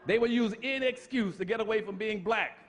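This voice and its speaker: A man with a notably high voice delivers a fiery public lecture. He talks like a preacher, leaning hard on repetition and rhetorical questions and pressing each point home. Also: fairly quick, a bit bright.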